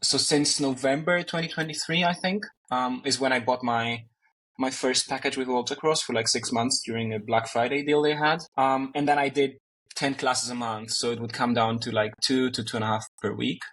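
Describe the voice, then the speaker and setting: Man from greece, native greek speaker, casual interview talk, halting, full of ums and restarts. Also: mid-range voice, fairly even intonation, fairly bright tone, a little hiss or hum. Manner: hesitant, many fillers and restarts. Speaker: interview guest. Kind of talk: casual conversation.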